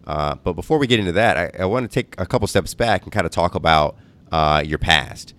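A man with a low, deep voice hosts an interview podcast. He sounds upbeat and encouraging and repeats his praise often. His questions wander with plenty of uhs. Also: a little fast, fairly bright tone. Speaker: podcast host.

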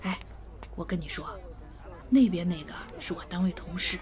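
Woman as a gossipy mom confiding in someone, slightly low voice, audio drama. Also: normal speed, darkish tone, lightly acted. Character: gossipy middle-aged mother, confiding. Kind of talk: Chinese audio drama acting.

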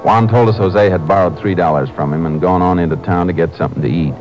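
An actor playing a calm, firm Texas Ranger with a laconic, matter-of-fact manner in a 1950s radio drama. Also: male voice, low-pitched, fast talker, dull audio, music in the background, close to an everyday voice. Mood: measured. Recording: noisy vintage radio broadcast.

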